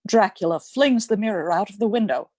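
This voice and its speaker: A woman reading scripted narration for a radio play in a measured storytelling manner. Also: mid-range voice, lively intonation, a little mellow, no room sound, studio quality, close to an everyday voice.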